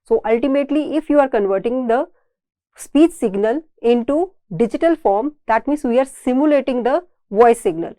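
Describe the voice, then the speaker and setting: A woman lecturer with an Indian accent and a fairly high, soft-edged, mellow voice. She explains technical material step by step, often restating a point in other words. The sound is dry and close, with very little room in it. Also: lively intonation, normal speed, no echo. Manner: didactic, repeats and rephrases points. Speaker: engineering lecturer.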